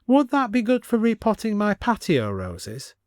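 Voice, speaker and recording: A man's voice: sits high, wildly swooping pitch, moderate pace, darkish tone, no room sound, clean studio sound, pitched above the performer's own voice. A man voicing a pompous older military colonel with a commanding, old-fashioned officer's manner in an audiobook story. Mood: doubtful.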